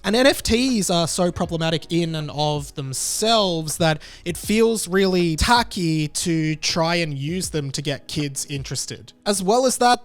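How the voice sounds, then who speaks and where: A man's voice: fairly high pitch, no room sound. A man hosting a video, giving informal, opinionated commentary straight to his viewers. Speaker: video host.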